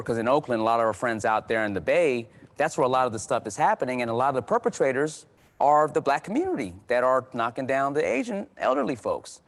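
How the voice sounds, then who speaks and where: A man speaking as a guest in a panel discussion, earnest and conversational, often repeating and restarting words as he gets more animated. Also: medium pitch. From United States.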